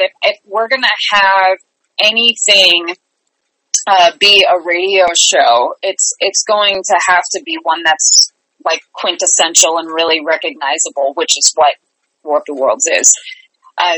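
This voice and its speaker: A woman's voice: on the low side, bright, forceful tone, no echo, rough recording. A woman talking conversationally as an interview guest, an enthusiastic theatre director.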